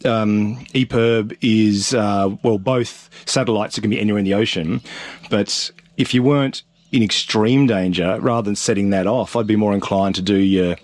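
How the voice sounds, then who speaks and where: A middle-aged man with a fairly low voice chats casually. He comes across as an informal, curious host, asking questions and thinking things through aloud. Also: fairly even intonation, moderate pace, no room sound. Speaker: host of a boating channel. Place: small wooden boat wheelhouse on the water.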